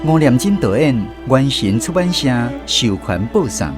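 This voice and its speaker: A man narrates a radio drama in an expressive storyteller's manner. His mid-range voice moves up and down a great deal and carries a Taiwanese accent. Music plays clearly behind him. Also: medium pitch, normal speed.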